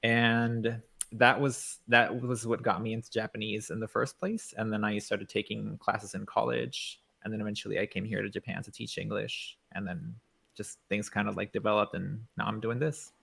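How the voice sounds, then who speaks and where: Gravelly-voiced man from Puerto Rico. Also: fairly low pitch, little pitch movement, normal speed, fairly bright tone. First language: Spanish. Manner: loose, rambling, heavy with fillers. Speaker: guest translator. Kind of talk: casual interview chat.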